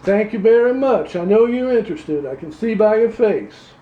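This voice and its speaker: A man's voice: high voice, expressive, moderate pace, phone-quality sound. A man playing a blustering, pompous male Louisiana politician with a Louisiana accent in an improvised comedy sketch. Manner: pompous, folksy, rambling. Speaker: comedy performer. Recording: roomy echo.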